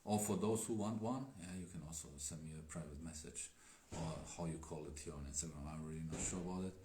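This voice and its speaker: A man who is not a native English speaker, chatting casually as a Daoist teacher on a livestream, halting with many fillers. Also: low-pitched, warm tone. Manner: halting, filler-heavy, laughs often. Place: indoor room with tea table. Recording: echoey room, faint noise.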